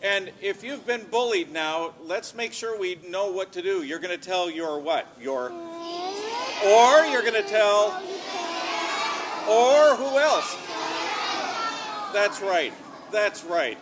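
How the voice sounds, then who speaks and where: A man's voice: high-pitched, big pitch swings, slow, pauses often, crowd noise. A man from New York, an opera singer, chatting casually and coaxingly with a school audience after a show, asking the kids questions. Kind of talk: casual post-show chat with children. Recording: noisy audience of children around.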